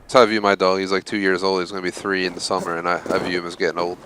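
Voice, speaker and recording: A man with a very low voice talks loosely to his viewers while he plays, filling the gaps with "uh" and "you know." A faint hiss sits under the recording. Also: little pitch movement, very fast, fairly bright tone, low-quality recording. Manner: rambling, frequent fillers. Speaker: game streamer host. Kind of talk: casual live-stream chat while gaming.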